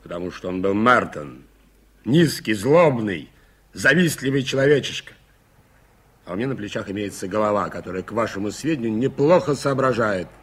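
A man playing a proud, clever, easily stung company employee in a radio play, speaking emphatically and excitably. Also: on the low side, normal speed, breathy edge. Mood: resentful, angry. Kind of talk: 1980s radio play acting.

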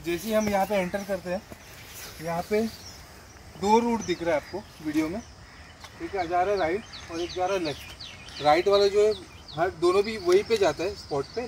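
A man's voice: high voice, darkish tone, slight background noise. A man vlogging outdoors, explaining directions step by step as he walks a rural trail. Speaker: travel vlogger. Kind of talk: casual guide to a trail.

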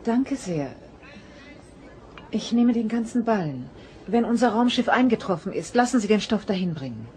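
A woman's voice: mid-range voice, sing-song, normal speed, pauses often, mellow, slight background noise, lightly acted. An actress playing a cool, formal ship's doctor and mother in a German audio drama. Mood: cool, reserved.

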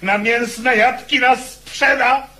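Outraged knight, high, sharp, loud, declamatory, radio drama. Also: male voice, steady tone, takes it slowly, full character voice. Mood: indignant. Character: indignant, scornful knight in revolt. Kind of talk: radio drama acting, archaic speech.

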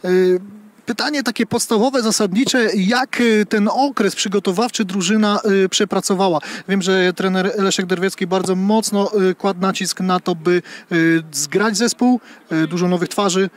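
A man in his forties interviewing on a football pitch with a handheld microphone, halting often with filled pauses. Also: steady tone. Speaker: sports interviewer. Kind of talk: on-location sports interview questions. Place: outdoors on a football training pitch. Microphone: handheld reporter microphone.

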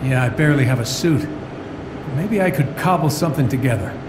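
An actor playing a cocky, wisecracking genius inventor hero in a video game, with glib, sarcastic delivery. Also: male voice, medium pitch, expressive, fairly quick, background noise, lightly acted. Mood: wry, busy. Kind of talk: video game cutscene acting.

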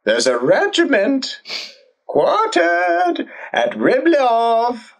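An actor playing a fussy, sentimental elderly male servant in an audio play, halting and stammering, drawing words out in a pleading wail. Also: medium pitch, wildly swooping pitch, vocal fry, heavily acted. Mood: wistful, wailing. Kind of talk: theatrical audio play acting.

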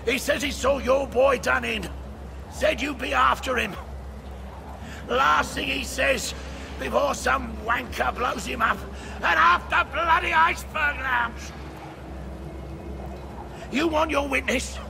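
A high, gravelly Cockney gangland boss from a video game. He is crude and blustering, drawing out his threats and pleas in a squawking voice. Noisy game ambience sits behind him. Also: takes it slowly, pauses often, exaggerated voice. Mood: frightened, defiant. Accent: British, Cockney. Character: crude, blustering British crime boss, panicked and taunting.

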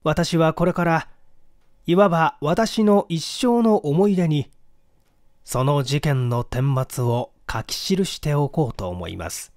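A man reading a classic mystery story aloud as a dramatic narrator, in measured, formal storytelling. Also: fairly high pitch, a little mellow, no room sound, clean studio sound. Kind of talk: dramatic scripted audiobook narration in first person.

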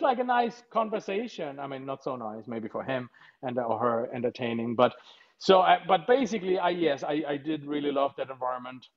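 A middle-aged man from Germany with a German accent, a vet chatting as an interview guest from his home, joking and telling stories. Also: on the high side. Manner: jokey, chatty storytelling with frequent fillers. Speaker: veterinarian guest. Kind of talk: casual video-call interview. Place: home living room. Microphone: video call webcam. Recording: slightly dull video-call audio.

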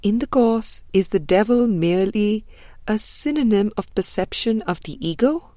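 A woman reading a spiritual book as an audiobook narrator, measured and deliberate, with frequent pauses. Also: medium pitch, animated, dark tone, no echo, clean studio sound. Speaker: audiobook reader.

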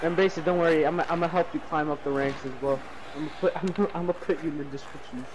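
A man's voice: on the high side, fairly even intonation, racing, noisy background. A young man co-commenting a hockey game, teasing his friend with crude jokes and frequent giggles. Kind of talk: casual chat over a game.

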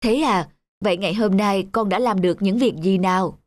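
A woman's voice: medium pitch, brisk, dry recording, lightly acted. Woman as instructive cartoon mother rabbit, dry sound. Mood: chiding. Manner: instructive, questioning. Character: mother rabbit, adult female, patient, gently instructive. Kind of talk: children's cartoon dubbing. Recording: dry studio voice over soft music.

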